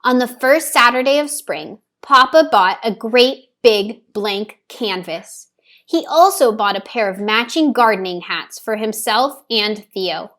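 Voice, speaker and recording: A woman reading a children's picture book aloud with deliberate, careful phrasing for young listeners. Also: a little high, lively intonation, fairly bright tone. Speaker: storybook reader. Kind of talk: children's story read-aloud, scripted narration.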